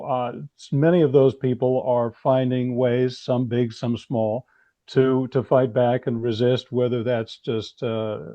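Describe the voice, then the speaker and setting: A man from the United States speaking earnestly as a panel guest, in a measured, halting, explanatory way. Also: medium pitch, warm tone. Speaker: panel guest, anthology editor. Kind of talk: earnest casual discussion. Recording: dull, lo-fi call audio.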